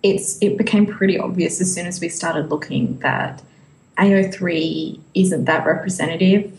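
A woman co-hosting a casual podcast discussion, speaking informally and a little haltingly as she thinks aloud. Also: on the low side, steady tone, darkish tone, a little hiss or hum, rough recording. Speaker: podcast co-host.

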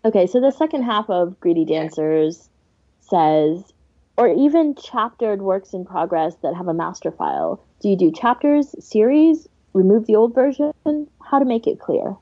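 A woman's voice: mid-range voice, expressive, normal speed, no echo. A woman co-hosting a podcast in casual conversation, on a slightly dull microphone. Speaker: podcast co-host. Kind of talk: casual panel chat.

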